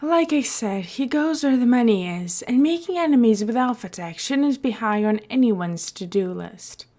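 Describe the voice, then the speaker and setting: A woman's voice: fairly high pitch, lively intonation, normal speed, mellow, natural voice. A woman voicing a glib, scheming male mayor in an audiobook, with a nonchalant, playful and emphatic delivery.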